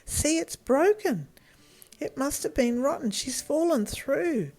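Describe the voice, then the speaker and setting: An excitable farm boy from a storybook played by a woman, pitched high and full of urgency. He is emphatic and caring, with a lively swing to his words. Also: takes it slowly, full character voice. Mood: alarmed.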